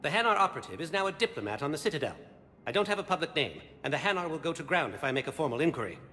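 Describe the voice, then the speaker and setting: A man's voice: on the high side, big pitch swings, racing, pushed and bright. A man voicing an intense, confident alien special agent in a video game, clipped and formal in delivery. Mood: earnest, intent. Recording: long echoing reverb, background noise.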